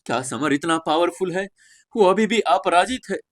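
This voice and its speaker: Man playing an incredulous young onlooker, higher pitch. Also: expressive, normal speed. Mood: amazed. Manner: incredulous, exclaiming. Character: astonished young male onlooker in a fantasy crowd.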